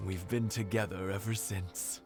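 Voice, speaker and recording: An actor playing a jovial, humble elderly husband reminiscing in a video game. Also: male voice, low-pitched, fairly even intonation, measured pace, over music. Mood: tender reminiscing. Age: older.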